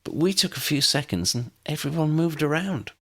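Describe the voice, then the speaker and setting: A man voicing an excitable, bossy young witch in a story, speaking with urgent emphasis. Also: on the high side, moderate pace, voice pushed up. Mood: breathless, excited.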